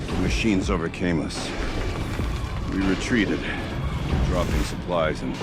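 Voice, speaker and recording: Battle-worn warrior leader, deep voice, slow, grave, formal, video game. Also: male voice. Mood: grim resolve. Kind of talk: dramatic video game acting. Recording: loud rumbling background music.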